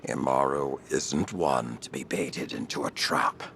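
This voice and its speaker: Gruff, extremely deep alien warrior. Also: male voice, unhurried, fairly bright tone, slight background noise, heavily acted. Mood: formal, stern. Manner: formal, terse, weighty. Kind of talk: video game voice acting.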